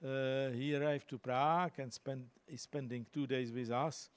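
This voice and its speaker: Man haltingly introducing a speaker. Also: mid-range voice, fairly even intonation, smooth, no echo. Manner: halting, searches for words with many uhs. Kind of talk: formal introduction. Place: large lecture hall, indoors. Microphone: handheld microphone.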